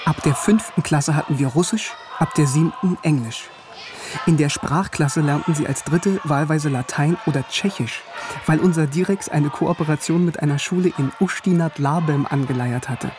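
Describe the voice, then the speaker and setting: Radio play narrator: actor as man recalling his youth, fairly high voice, thoughtful storytelling over music. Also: moderate pace, close to an everyday voice. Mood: reflective. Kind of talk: scripted radio drama narration.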